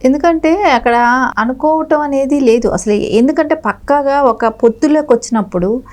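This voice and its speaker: A woman's voice: high-pitched, normal speed, warm tone. A woman speaks as a political spokesperson. Her commentary is conversational but emphatic, repeating points to insist on them. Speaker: political party spokesperson, interview guest.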